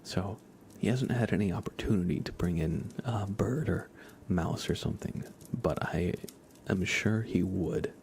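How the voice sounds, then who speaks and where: A man chatting softly as an ASMR livestream host, in a gentle hushed voice that often drops into whispers. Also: low voice, unhurried, slight background noise. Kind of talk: soft-spoken ASMR livestream chat.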